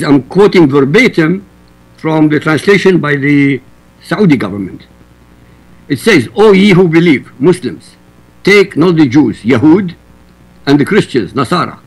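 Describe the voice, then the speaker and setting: A man's voice: a little high, husky, poor audio. A man from Iraq whose first language is Arabic, arguing emphatically as a guest in a roundtable debate. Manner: forceful, emphatic quoting. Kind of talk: debate argument.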